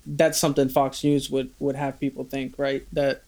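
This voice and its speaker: A man's voice: mid-range voice, level tone, normal speed, darkish tone. A man from Bosnia taking part in a casual panel chat.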